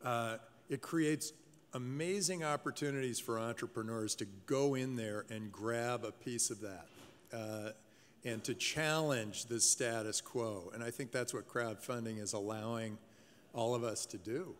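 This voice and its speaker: Male panelist, mid-range voice, halting and upbeat; faint hall noise. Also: medium pitch. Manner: halting, many fillers, enthusiastic. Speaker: venture investor panelist. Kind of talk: conference panel talk. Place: large conference hall stage. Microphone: table mic.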